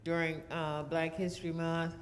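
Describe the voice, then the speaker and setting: A woman who used to teach, commenting informally from the audience in a measured, reflective manner. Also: deep voice, little pitch movement, a little hiss or hum. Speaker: former teacher, audience member. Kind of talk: informal spoken comment at a meeting. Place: large hall at a church program. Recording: echoey hall.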